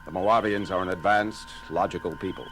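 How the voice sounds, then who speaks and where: An actor playing a logical, unflappable alien science officer, speaking formally and precisely in an audio drama. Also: male voice, low voice, fairly quick, fairly bright tone, a little hiss or hum, poor audio. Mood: measured, reserved. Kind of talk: dramatic audio theater acting. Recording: music under the voice.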